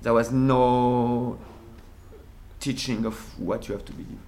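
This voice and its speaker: A man's voice: medium pitch, fairly even intonation, unhurried, darkish tone, a little hiss or hum. A man from France speaking English with a French accent, a performer giving a casual interview and searching for words with frequent restarts.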